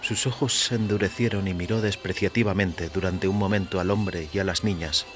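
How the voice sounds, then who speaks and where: A man giving a measured, literary, dramatic narration of a short story in a deliberate manner. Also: sits low, fairly even intonation, soft background music. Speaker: audio fiction narrator.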